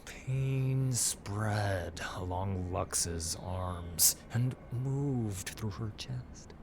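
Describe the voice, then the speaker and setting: A man narrating a fantasy story in a dramatic, deliberate, intense scripted style. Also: fairly low pitch. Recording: clear noise and ambience behind. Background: ambience and music.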